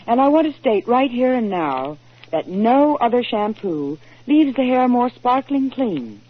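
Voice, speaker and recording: An actress playing a glamorous, gushing young model in a 1940s radio commercial. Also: female voice, fairly high pitch, very animated, a little slow. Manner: polished, gushing. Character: glamorous, enthusiastic young female model endorsing shampoo. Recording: tinny 1940s radio recording.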